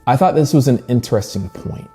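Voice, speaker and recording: A man hosting a literature channel, narrating a scripted video essay with dramatic pauses and emphasis. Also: mid-range voice, animated, dark tone, husky. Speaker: host of a horror literature channel. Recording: music bed behind the voice. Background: background music.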